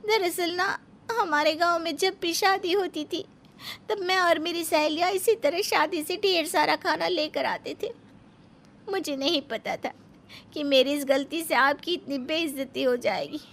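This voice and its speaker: A woman's voice: very high voice, unhurried, fairly bright tone, a little hiss or hum, heavily acted. A woman voicing a plain-spoken, stubborn young village wife in a cartoon story, with rustic emphatic fillers. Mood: apologetic.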